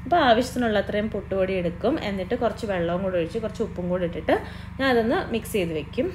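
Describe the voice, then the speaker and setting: A woman's voice: mid-range voice, racing, darkish tone. A young woman with a Malayali accent, chatting through a home cooking vlog in a kitchen and mixing English words into her speech. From Kerala. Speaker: cooking vlogger. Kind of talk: casual cooking voice-over. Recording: noisy background.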